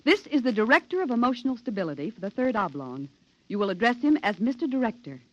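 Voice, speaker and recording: A woman's voice: fairly high pitch, big pitch swings, fast. Muffled radio-era controlled woman scientist. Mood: curt. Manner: formal, crisp lecturing diction. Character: cool, controlled woman genetics professor of a rigid future society. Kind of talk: 1950s radio drama acting. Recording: dull, band-limited 1950s radio recording.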